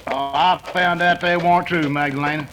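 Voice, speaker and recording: A man acts a rough gang boss in a 1930s-style radio western, hungry for money. He has an American western drawl and a slightly high voice. Crackly noise sits clearly behind him. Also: fairly even intonation, brisk, natural voice. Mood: greedy, gruff talk.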